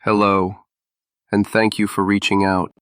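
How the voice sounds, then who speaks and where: A man's voice: on the low side, level tone, a little slow, a bit bright, dry recording, studio quality, pitched above the performer's own voice. A man voicing a bland customer service representative with formal, canned politeness in an audio drama. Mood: politely canned.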